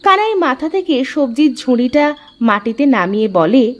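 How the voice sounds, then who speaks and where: A woman's voice: high-pitched, very animated, measured pace, muffled recording. A woman narrating a scripted cartoon folk tale as a storyteller.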